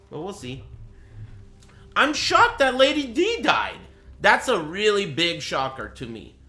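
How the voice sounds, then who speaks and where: A male game streamer shares his impressions in a casual, off-the-cuff style. His voice sits a little high for a man and has a bright, forceful edge. He is blunt and profane, with a dry sarcastic streak.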